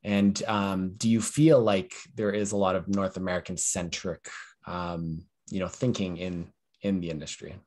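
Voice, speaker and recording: A young man hosting a podcast over a video call, talking in a relaxed way full of "you know" and "um". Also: deep voice, normal speed. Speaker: podcast host. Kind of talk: casual interview talk. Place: small room at home.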